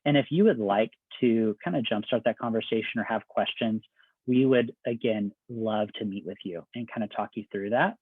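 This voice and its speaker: A man working as an admissions counselor, giving a conversational, step-by-step presentation with everyday examples. His voice is getting a little raspy. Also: on the low side, moderate pace, dry recording. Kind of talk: informational presentation. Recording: dry, phone-like video call audio.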